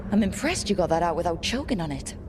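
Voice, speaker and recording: Low-voiced, frank fantasy heroine. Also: female voice, big pitch swings, quick pace, background noise. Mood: wry. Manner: conversational, direct, dry. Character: level-headed, frank heroine of a fantasy adventure. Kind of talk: video game dialogue.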